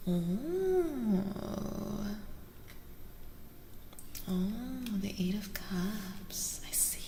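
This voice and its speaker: Slow, soft-spoken ASMR woman, half whispering. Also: medium pitch, very animated, reverberant, background noise. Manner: slow, soothing, breathy whispering that slips into soft voice. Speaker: streamer hosting a live tarot reading.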